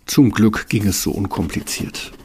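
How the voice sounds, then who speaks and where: A man's voice: on the low side, normal speed, darkish tone, slight background noise. A man narrating a scripted fairy tale as storyteller. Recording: music underneath.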